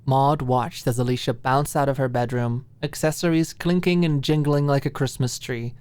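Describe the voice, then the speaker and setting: A woman's voice: extremely low pitch, steady tone, moderate pace, a little mellow, smooth, no echo. A woman reading scripted story narration for an ASMR-style audiobook.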